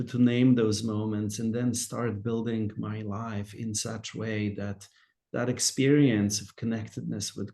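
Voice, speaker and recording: A man with a Polish accent gives a reflective talk, full of pauses and restarts. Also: medium pitch, gravelly, darkish tone. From Poland. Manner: hesitant, many fillers and restarts. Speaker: speaker.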